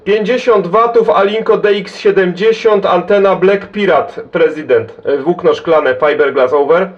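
A Polish man working as a CB radio operator, giving call signs and chatting in a brisk, friendly, informal way. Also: high voice, slight background noise. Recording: dull, lo-fi CB radio recording.

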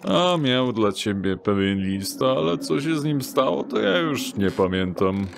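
A man's voice: mid-range voice, animated, measured pace, gravelly, background noise. An actor playing a stocky, sly thug of a doorman in an audio drama, sardonic and offhand. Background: background music.

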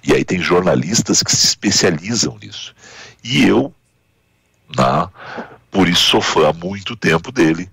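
A man in his thirties with a Gaúcho accent hosting a radio interview, chatty and animated, full of tag questions. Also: deep voice, measured pace, vocal fry, breathy edge, dry recording. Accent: Gaúcho Portuguese. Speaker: radio host. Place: radio studio. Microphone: studio mic with headphones.

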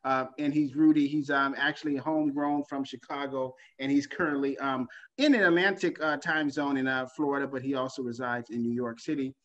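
A man's voice: mid-range voice, fairly even intonation, a little fast, hardly any pauses. A male talk show host with an upbeat, laughing, showman-like way of introducing guests. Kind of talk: online talk show hosting. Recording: dull lo-fi video call audio.

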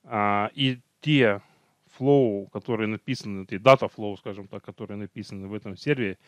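A man's voice: fairly low pitch, moderate pace, no echo. A man teaching an online technical training over slides, talking unhurriedly, with hesitations and self-corrections.

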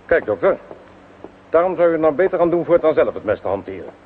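An actor playing a shrewd, courteous police inspector who probes with dry irony in an old radio play. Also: male voice, a little high, big pitch swings, fairly quick, phone-quality sound. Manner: probing, courteous, dryly ironic. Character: shrewd, polite, needling male police inspector. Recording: faintly noisy, thin old radio recording.

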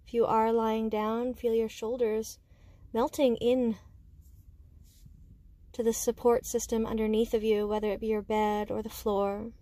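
A woman guiding a meditation with slow, soothing instruction, speaking gently and unhurriedly in short phrases with pauses. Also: a little high, flat delivery, no echo. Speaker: meditation guide.

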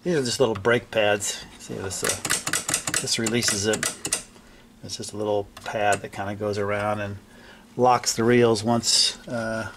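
Older male DIY presenter, fairly low and creaky, halting casual delivery while working with his hands in a home workshop. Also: steady tone, background noise. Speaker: hobbyist restorer presenting a repair video. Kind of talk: casual unscripted repair narration. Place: indoor basement-like home workshop.

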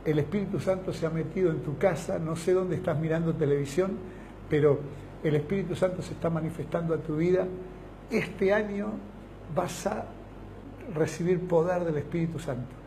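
A man's voice: fairly high pitch, moderate pace, mellow, slight background noise, low-quality recording. A middle-aged man with an Argentine accent, a pastor giving a fervent televised invitation from a small studio set, speaking in long emphatic lists. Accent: Argentine Spanish.

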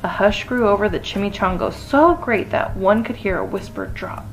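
A woman storyteller giving an expressive read-aloud of a children's picture book. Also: mid-range voice, moderate pace, soft background music, low-quality recording.

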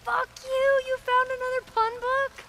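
A woman's voice: very high-pitched, little pitch movement, measured pace, bright, forceful tone, a little hiss or hum, exaggerated voice. A woman acting a teenage girl in a video game, American, blunt and sarcastic yet tender-hearted, talking naturalistically. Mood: playful.

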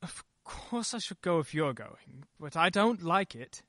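A woman's voice: fairly low pitch, very animated, a little slow, a bit bright, no room sound, clean studio sound, exaggerated voice. A woman playing a short-tempered, rattled husband in an old-time radio play. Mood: stubborn.

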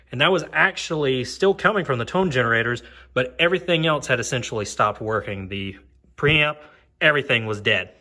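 A man's voice: mid-range voice, bright, forceful tone. A man giving a casual, hands-on technical demo in an informal, explanatory way. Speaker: hobbyist demonstrating siren equipment. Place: on a concrete floor beside open equipment.

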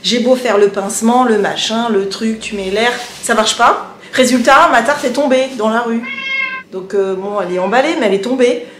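A woman's voice: fairly high pitch, normal speed. A woman in her forties talking casually to camera in a vlog, chatty and a bit exasperated, the camera held at arm's length. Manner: chatty, exasperated, self-mocking. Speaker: vlogger. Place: apartment indoors, also outdoors in the street. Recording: echoey room, faint background noise.

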